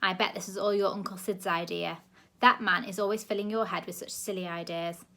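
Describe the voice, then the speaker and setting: A woman doing a loud, bossy, half-deaf granny. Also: mid-range voice, fairly even intonation, fairly quick. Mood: barking. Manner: barking, scolding, shouting.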